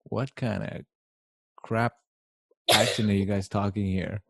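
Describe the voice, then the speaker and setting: A man's voice: fairly low pitch, little pitch movement, unhurried, vocal fry, no echo. A man hosting a casual conversation, asking curious, rambling questions. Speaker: host.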